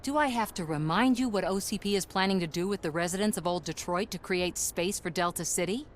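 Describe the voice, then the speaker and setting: An actress playing a determined activist who speaks earnestly and persuasively. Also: female voice, fairly low pitch, fairly quick, a little hiss or hum, lightly acted. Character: determined woman activist helping residents.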